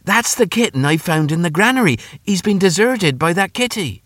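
A man's voice: high-pitched, lively intonation, moderate pace, fairly bright tone, no room sound, studio quality, voice pushed up. A man voicing an eager, pleading young farm boy in a storybook reading, earnest in manner. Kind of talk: storybook character voice.

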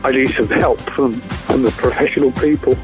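A man's voice: mid-range voice, steady tone, normal speed, soft background music. A man calling in, speaking earnestly and pleadingly about needing help. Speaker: caller. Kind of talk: personal testimonial.

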